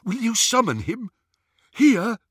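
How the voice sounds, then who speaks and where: A man voicing a rough, excitable male gang member who yelps and blurts eagerly. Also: very high-pitched, slow pace, no echo, clean studio sound, pitched above the performer's own voice, exaggerated voice. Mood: excited. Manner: eager, yelping.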